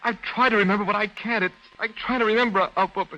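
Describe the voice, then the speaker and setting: A man's voice: steady tone, fast, pushed and bright, lo-fi sound. An actor playing a frightened young man accused of murder, pleading and panicky, in 1940s-style radio acting. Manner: pleading, panicky, high-strung. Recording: noisy old radio recording.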